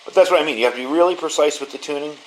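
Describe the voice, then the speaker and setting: A man's voice: fairly high pitch, racing, rough recording. A man giving a casual hands-on product review in an informal, conversational way, recorded in his garage studio. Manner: plain-spoken, informal, conversational.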